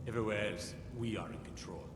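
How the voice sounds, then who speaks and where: An actor playing a proud, impatient lord in a fantasy video game, speaking formally and curtly. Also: male voice, fairly low pitch, fast, lightly acted. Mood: firm, authoritative. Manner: authoritative, curt, formal. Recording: echoing hall, distant, noisy game capture.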